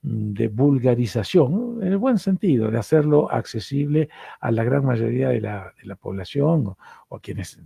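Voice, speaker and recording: Middle-aged Argentine male lecturer, dark gravelly voice, dry room, hesitant and repetitive delivery. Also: mid-range voice, lively intonation, normal speed, no echo. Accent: Argentine Spanish. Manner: hesitant, repeats words while searching for them. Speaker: psychoanalyst lecturer.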